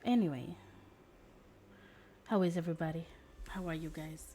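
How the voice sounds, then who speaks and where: Low-pitched, mellow woman streamer. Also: moderate pace, a little hiss or hum. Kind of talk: casual livestream chat while gaming.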